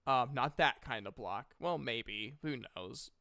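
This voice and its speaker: A man improvising narration as game master of a tabletop fantasy game, halting as he thinks aloud, with playful asides. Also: mid-range voice, sharp tone.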